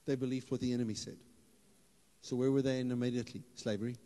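A man, preaching a lively sermon on a church stage into a handheld mic, playful and building to loud emphatic bursts. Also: mid-range voice, fast talker. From South Africa. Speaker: pastor. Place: church hall stage with audience. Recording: hall reverb, live church PA.

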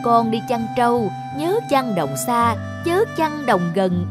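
Woman as sly, high-pitched scheming stepmother. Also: sing-song, slow pace, over music. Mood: bossy. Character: scheming, spiteful middle-aged stepmother.

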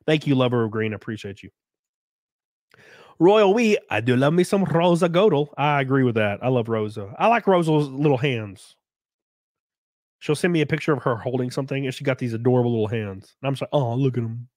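A man in his thirties hosting a casual live stream from a small home room, close on a boom mic, joking crudely and sounding congested from a cold. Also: lively intonation, a little fast, darkish tone, no room sound. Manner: crude, joking, laughs often. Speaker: livestream host. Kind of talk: casual live-stream chat reading donations. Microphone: studio mic on boom arm, close.

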